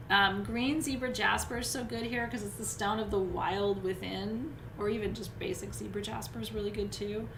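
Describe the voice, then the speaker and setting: A woman teaching astrology to a live audience in a loose, chatty way, her voice hoarse and wearing out. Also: medium pitch. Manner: chatty, loose, many "um"s and restarts. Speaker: spiritual teacher reading astrology. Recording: roomy echo with steady background noise.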